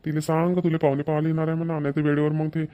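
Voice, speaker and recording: A man voicing a practical middle-aged village father, with a brisk, instructing manner. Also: a little high, monotone, mellow, lightly acted.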